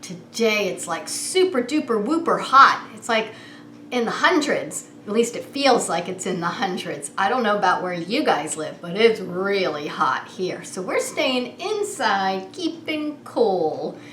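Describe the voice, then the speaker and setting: A woman's voice: mid-range voice, very animated, unhurried, a bit bright. A woman preschool teacher hosting story time, talking straight to young children with simple questions in a playful, singsong way. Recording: roomy echo, clear background noise.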